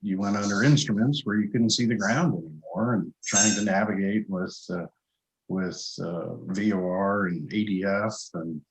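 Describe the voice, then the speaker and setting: An older man explaining his field as an interview guest, halting now and then as he thinks aloud. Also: on the low side. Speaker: guest, avionics industry professional and pilot. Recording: echoey, roomy call audio.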